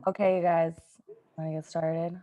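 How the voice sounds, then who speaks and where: A woman's voice: sits low, little pitch movement, normal speed, mellow. A woman chatting casually with a group as she hosts a podcast. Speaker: podcast host.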